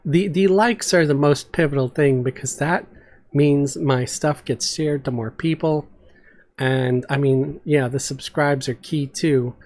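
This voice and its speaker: A man's voice: fairly high pitch, a little mellow. A man hosting his channel, chatting casually to his viewers in an outro. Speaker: channel host.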